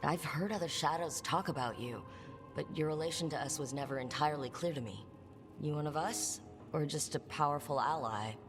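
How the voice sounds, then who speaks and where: A young rebel adventurer in a fantasy game, earnest and plain-spoken with a wry edge. The sound is reverberant, with music behind. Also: female voice, sits low, brisk. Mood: grateful, curious. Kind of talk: natural game dialogue. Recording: roomy reverb, music underneath.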